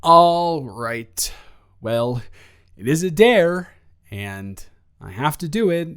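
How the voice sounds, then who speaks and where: A young man playing an awkward, eager, rambling ex-boyfriend in an improvised romantic roleplay audio, stammering and halting as he talks. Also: fairly high pitch, big pitch swings, fairly bright tone, clear, resonant tone, heavily acted. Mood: teasing, sheepish.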